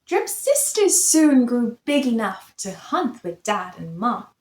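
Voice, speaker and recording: A woman reads a picture book aloud for children in a roomy, echoing space. Her voice is high and swoops expressively through each sentence. She tells it like theatre, with great animation. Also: big pitch swings, takes it slowly, darkish tone. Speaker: children's story reader. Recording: roomy echo.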